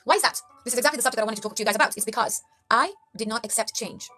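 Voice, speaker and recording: A woman from Kenya talks at a rapid clip in a bright, forceful voice, her pitch sitting a little high. She preaches life advice earnestly to her viewers and keeps restarting her phrases. Soft music plays faintly underneath. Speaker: life coach vlogger. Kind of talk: motivational talk to camera.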